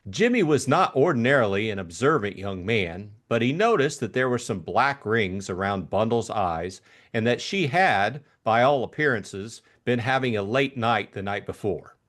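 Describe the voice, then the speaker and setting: A man's voice: on the low side, animated, moderate pace, hardly any pauses, a bit bright. A man reading scripted story narration as an audiobook narrator.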